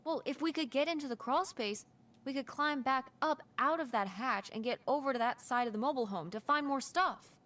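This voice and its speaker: A woman voicing a curious, teasing teenage daughter in a naturalistic, expressive video game performance. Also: high voice, fairly quick. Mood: eager. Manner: playful, cheeky, expressive.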